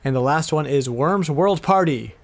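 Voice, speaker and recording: A man's voice: fairly high pitch, expressive, moderate pace. A man co-hosting a casual podcast, chatting playfully and sometimes muttering to himself in mock exasperation. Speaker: podcast co-host. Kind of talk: casual podcast chat about retro games.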